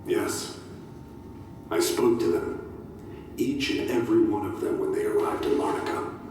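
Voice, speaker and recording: A man's voice: lowish voice, unhurried, darkish tone, natural voice. Guy doing a zealot soldier for a game log, declaiming like scripture, super echoey and distant, bit of noise. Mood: righteous, accusing. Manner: preaching, grave, declamatory. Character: fanatical religious soldier, captive, solemn and unrepentant. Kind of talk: video game audio log acting. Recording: echoing hall, distant mic, faint noise.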